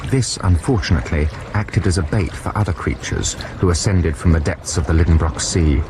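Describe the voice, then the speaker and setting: An actor playing an earnest, excitable young nephew telling his adventure in a radio drama. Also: low-pitched, brisk. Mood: dramatic narration. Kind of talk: radio drama narration and dialogue. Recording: noisy radio broadcast, sea effects.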